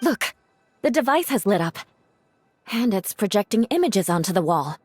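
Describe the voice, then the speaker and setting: A composed young woman knight for a video game. Her voice sits at a middle pitch, formal and concerned. Music is clearly heard behind her. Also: very animated, normal speed, darkish tone, no echo. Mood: observant. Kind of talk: video game cutscene voice acting.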